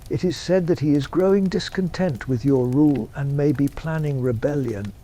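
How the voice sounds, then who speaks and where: A man voicing a sly, cunning male spymaster who speaks in a hushed, conspiratorial way, in an ASMR roleplay story. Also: a little high, moderate pace, a little hiss or hum, voice pushed up, close to an everyday voice.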